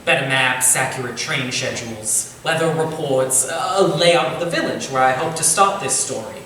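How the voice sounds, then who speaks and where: A man's voice: mid-range voice, moderate pace, bright, forceful tone, close to an everyday voice. A man playing an earnest, bookish Victorian writer in a staged reading, precise about details and a little hesitant. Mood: earnest, resolved. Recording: very echoey room, distant mic, hissy noise.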